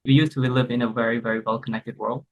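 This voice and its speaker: A speaker from Cambodia talks as a guest on a panel, casual and conversational with frequent fillers. The voice sits at a middle pitch. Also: flat delivery, quick pace, rough recording. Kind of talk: panel discussion.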